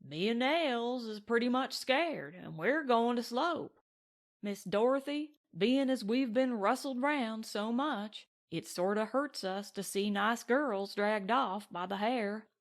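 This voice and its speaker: A woman voicing a gruff, sarcastic cowboy gunman who talks in rustic slang in an audiobook western. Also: on the high side, slow. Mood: terse, stern. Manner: drawling rustic cowboy slang, terse and mocking. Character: gruff, sarcastic middle-aged cowboy gunman of the Old West.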